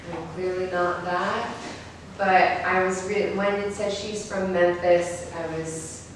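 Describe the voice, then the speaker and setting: A woman actor speaking reflectively in a group discussion about a role. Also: lowish voice. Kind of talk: reflective discussion after a table read. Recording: echoey room, distant mic, faint noise.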